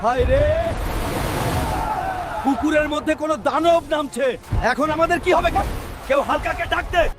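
A man voicing an excitable, panicky cartoon villager, urgent and exclamatory, in Bangladeshi speech. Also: very high voice, moderate pace, long pauses, crowd noise. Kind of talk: comic cartoon dub. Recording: loud music over the voice.

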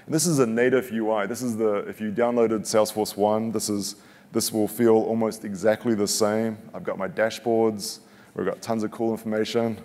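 A man's voice: on the low side, flat delivery, moderate pace, darkish tone. A man in his forties giving a casual, joking live product demo on a conference stage, often stopping and restarting his sentences. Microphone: headset mic. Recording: long reverb of a big hall.

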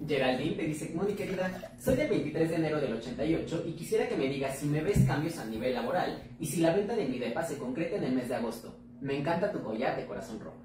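A co-host reading out viewer messages at a quick clip. The voice sits well back from the mic in a very echoey room, with clear background noise. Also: mid-range voice, lively intonation, recorded from a distance. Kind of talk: reading questions aloud.

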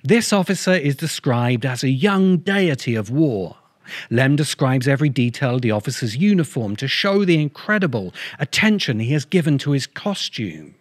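A middle-aged man narrating a scripted video essay in measured, emphatic, deliberate phrases, close to the microphone. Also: fairly high pitch, no room sound. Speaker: host of a science fiction video essay channel. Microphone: large microphone close in front of him.

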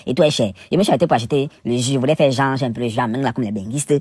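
Cameroonian male cooking vlogger, rapid-fire and husky, theatrical storyteller, casual and full of interjections. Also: medium pitch, a little mellow, no echo. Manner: theatrical, exclamatory, playfully indignant, with repetitions and interjections. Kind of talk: casual, chatty storytelling voice-over.

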